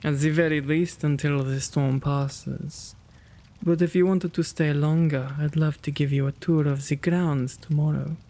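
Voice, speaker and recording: A man voicing a kind, courteous gentleman vampire in a soothing ASMR roleplay, gentle and tender, often slipping into a whisper. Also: a little high, steady tone, measured pace.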